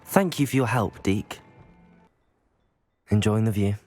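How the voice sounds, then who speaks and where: An actor playing a polite, curious young student wizard in a video game, formal in phrasing. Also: male voice, fairly low pitch, measured pace, a little mellow, soft background music.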